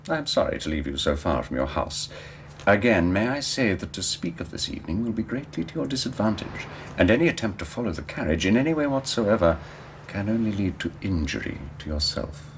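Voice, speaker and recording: Cold polite thug in a radio drama, really low voice, formal threats, little bit of noise behind. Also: male voice, very animated, brisk, rough recording. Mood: cold menace. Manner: formal, clipped, coolly menacing. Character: cold, courteous henchman issuing veiled threats.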